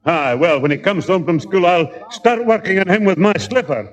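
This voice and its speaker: A man's voice: sits high, expressive, normal speed. A man playing a grumpy, sardonic old grandfather with a Northern English accent in a 1960s British radio comedy. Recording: reverberant old radio recording.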